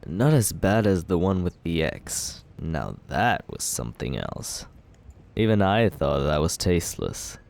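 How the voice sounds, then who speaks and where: A man's voice: sits low, very animated, unhurried, vocal fry, darkish tone, exaggerated voice. A young man playing a cocky male villain who courts the listener in an ASMR roleplay, teasing and laid-back as he justifies himself.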